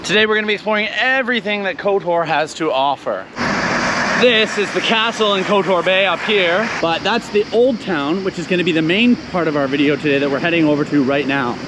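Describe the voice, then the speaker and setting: A chatty travel vlogger man talks straight to his viewers while walking outdoors. His voice sits a bit high for a man, and the camera mic picks up plain street and traffic noise around him. The sound is outdoor camera audio rather than a studio recording. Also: a little fast. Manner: upbeat, chatty, addresses viewers directly. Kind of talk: casual travel vlog narration. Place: outdoors in a town and on a mountain trail.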